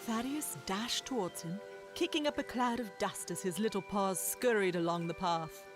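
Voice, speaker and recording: A woman narrator reading a scripted children's story in an expressive, measured storytelling manner. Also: mid-range voice. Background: music bed.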